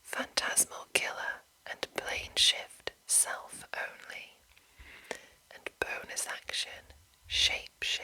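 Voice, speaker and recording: A woman whispering in a breathy, unvoiced voice, softly and deliberately reading aloud as an ASMR host. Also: rough recording. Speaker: ASMR video host and narrator.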